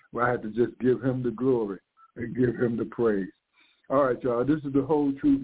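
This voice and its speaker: A man in his sixties, a pastor preaching live on radio, congested from the flu, fervent and often repeating and restarting his phrases. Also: medium pitch. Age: 60s. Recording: narrow phone-like radio sound.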